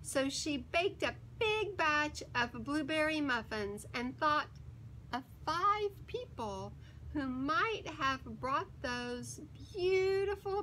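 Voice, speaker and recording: A woman storyteller with a high, bright voice reads a picture book to young children. She takes her time, leaning on key words and pausing for dramatic effect. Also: a little hiss or hum, rough recording. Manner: theatrical, emphatic, with dramatic pauses. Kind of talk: expressive read-aloud for young children.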